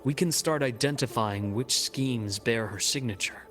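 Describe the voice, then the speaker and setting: A man voicing a composed, analytical investigator in a game, speaking in a measured, explanatory way. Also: mid-range voice, natural voice. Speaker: game character. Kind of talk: game cutscene voice acting.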